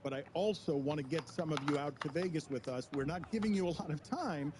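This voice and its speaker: A man's voice: fairly high pitch, a little fast, noisy background. A man hosting a sports talk show, building up hype in a theatrical way. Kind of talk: studio talk show chat. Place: studio.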